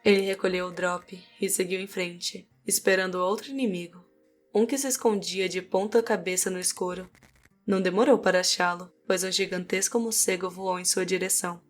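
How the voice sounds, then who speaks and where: Low-voiced Brazilian woman narrating over music. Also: fairly even intonation, moderate pace. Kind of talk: scripted audiobook narration of a fantasy story. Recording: music behind the voice.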